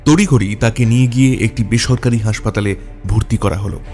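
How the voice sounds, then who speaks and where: A man narrating a scripted detective story in a measured way, with dramatic pauses. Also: fairly low pitch, steady tone, darkish tone. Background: background music.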